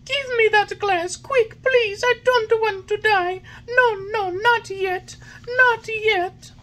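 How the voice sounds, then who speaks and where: A woman voicing a whiny, stubborn puppet boy in a high, shrill voice. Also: moderate pace. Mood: pleading. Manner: shrill, whining, loud.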